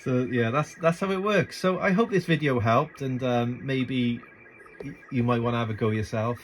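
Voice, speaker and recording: A man's voice: mid-range voice, moderate pace. A man giving a casual, unscripted tutorial as a radio hobbyist, thinking aloud in an informal, hesitant way into a phone. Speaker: amateur radio hobbyist making a tutorial video. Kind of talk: casual unscripted screen and gear walkthrough. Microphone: handheld phone filming the setup. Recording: noisy amateur phone recording.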